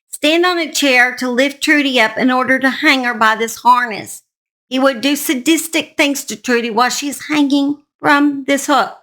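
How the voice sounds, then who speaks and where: A woman hosting a true crime show, telling a story in a measured narration with informal personal asides. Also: high voice, sharp tone. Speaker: true crime channel host.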